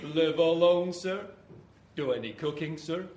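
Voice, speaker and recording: An oily door-to-door salesman played by a man, high-voiced and deliberate, full of polite, ingratiating patter. It is comic radio acting, recorded live in an echoey room. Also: darkish tone, close to an everyday voice. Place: small live venue with audience. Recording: roomy live stage recording, background noise.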